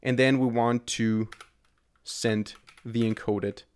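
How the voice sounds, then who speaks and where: A man's voice: medium pitch, fairly even intonation, takes it slowly, clear, resonant tone. A man in his twenties, a native German speaker, explaining code as a tutorial host into a close desk microphone. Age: 20s. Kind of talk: explanatory screencast narration. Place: small indoor room at a desk. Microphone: desk microphone close in front. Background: keyboard typing.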